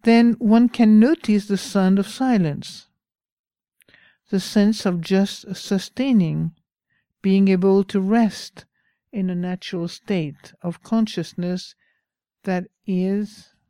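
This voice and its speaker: Slow-spoken woman with a French accent. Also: mid-range voice, steady tone, dry recording. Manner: slow, pausing, gentle. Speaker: meditation teacher. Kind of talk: dharma talk.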